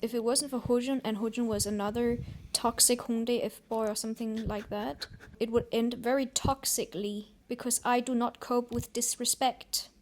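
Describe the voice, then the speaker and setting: A woman's voice: on the high side, steady tone, moderate pace, hardly any pauses, slight background noise. Chatty young Danish vlogger woman. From Denmark. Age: 20s. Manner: chatty, storytelling, candid. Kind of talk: casual vlog chat.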